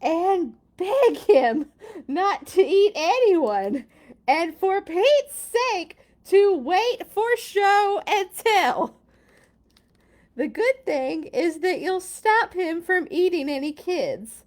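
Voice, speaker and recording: A woman reading a children's storybook aloud in a playful, expressive way, with casual chatty asides. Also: extremely high pitch, drawn-out words. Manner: playful, expressive, exclaims and comments while reading.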